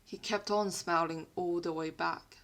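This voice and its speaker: A woman who is not a native English speaker, with a British-influenced accent, reads fiction aloud in an expressive, literary storytelling manner. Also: on the low side, measured pace. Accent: British-influenced non-native English. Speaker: podfic reader. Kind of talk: dramatic scripted fiction narration.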